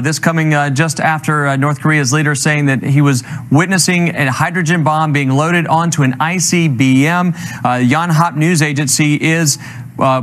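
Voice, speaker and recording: A man anchoring a live breaking news report, speaking haltingly with many fillers and restarts. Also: fairly high pitch, fairly bright tone.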